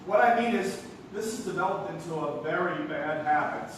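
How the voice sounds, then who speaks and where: A high-voiced, flustered young man played by a male stage actor, exasperated and stammering. He speaks emphatically in frantic comedy style, heard from far off in a very echoey hall. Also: moderate pace, natural voice. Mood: flustered, pleading. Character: flustered, exasperated young theater critic nephew. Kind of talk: theatrical stage comedy acting. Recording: echoey, noisy live theater from far off.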